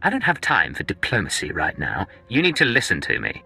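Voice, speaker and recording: A cool, assertive secret agent in a sci-fi game. The voice is deep and husky with a hard, sharp edge. He is terse and defiant, with lively inflection. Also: brisk, soft background music, full character voice. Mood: urgent, firm. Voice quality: gravelly, husky.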